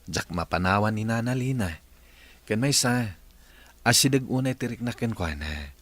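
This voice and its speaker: A very deep-voiced grown son acted by a man speaks with an Ilocano accent in a radio-style family drama. He comes across as earnest and devoted to his parents. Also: animated, moderate pace. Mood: warm, apologetic. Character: earnest adult son reconciling with long-lost parents.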